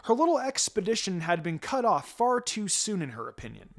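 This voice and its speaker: A man narrates fiction in an expressive reading style. His intonation moves up and down in a lively way, and music plays behind him. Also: high-pitched, fairly quick, vocal fry. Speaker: story narrator. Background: background music.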